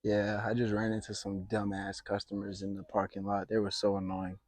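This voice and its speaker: A man's voice: fairly low pitch, a little fast, gravelly, no echo. A man chatting casually as a prank vlogger, brash and slangy, with frequent swearing. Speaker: prank video creator. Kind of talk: casual profane vlog chat.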